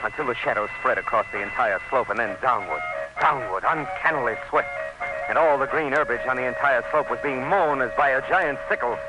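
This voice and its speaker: A man's voice: medium pitch, brisk, close to an everyday voice, low-quality recording. A man acting as an anxious, fascinated colonial commissioner in a radio drama, with grave, suspenseful and literary delivery. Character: anxious, fascinated commissioner recounting a jungle ordeal. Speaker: actor and narrator in a radio drama. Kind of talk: dramatic first-person radio narration and dialogue. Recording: radio drama with music behind.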